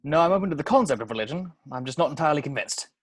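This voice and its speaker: A man playing a stern, by-the-book police sergeant in a script table read, clipped and deadpan. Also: on the high side, very fast. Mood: stiffly formal. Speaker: amateur actor in an online table read.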